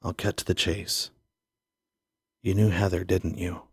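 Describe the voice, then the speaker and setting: Man as a low-voiced, dogged detective. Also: level tone, measured pace, breathy edge, no echo, studio quality, heavily acted. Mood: probing, earnest. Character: worn-out, dogged male police detective Harry Jackson.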